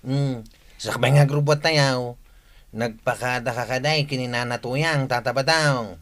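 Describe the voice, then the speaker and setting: A man's voice: mid-range voice, fairly even intonation, a little slow, a bit bright. A man with a northern Filipino accent playing a chummy, bossy village friend in a comedic radio drama.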